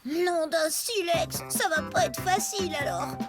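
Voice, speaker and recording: A woman's voice: very high voice, unhurried. A woman voicing an impulsive, stubborn prehistoric little girl in a cartoon, brash and exclamatory, with music behind her. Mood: grumbling, suspicious. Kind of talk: French-dubbed cartoon acting.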